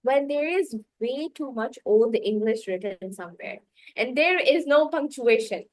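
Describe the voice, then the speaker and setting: A woman's voice: a little high, expressive, unhurried, no room sound. A young woman whose first language is Urdu, hosting a podcast in casual, chatty talk full of laughs and filler words. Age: young adult. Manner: chatty, laughs often, many filler likes. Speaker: podcast host.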